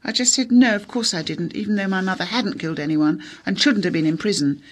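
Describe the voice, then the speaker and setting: A woman's voice: medium pitch, fast, natural voice. A woman voicing a brave, upset teenage girl who speaks earnestly, as a character in an audiobook. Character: brave teenage daughter, upset but holding herself together.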